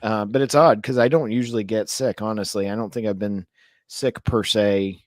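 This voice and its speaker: A man co-hosting a casual podcast chat, hoarse with a sore throat, talking close to his mic in a rambling, chatty way. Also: on the low side, fairly even intonation, moderate pace, darkish tone, no room sound. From Ohio. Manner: chatty, rambling, laughs often. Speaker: podcast co-host. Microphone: mic held very close.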